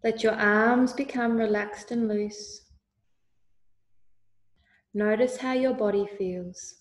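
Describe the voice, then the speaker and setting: Very slow, calm woman guiding meditation. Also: fairly high pitch. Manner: calm, soothing, deliberate instruction. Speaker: guided meditation leader. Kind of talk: slow guided meditation narration. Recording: roomy, echoey voice recording.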